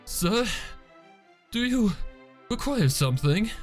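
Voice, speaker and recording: A man acts out a flustered servant creature in a storybook tale. He is high in pitch, formal and breathless, eager to serve. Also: big pitch swings, slow, soft background music, heavily acted. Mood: anxious, panting.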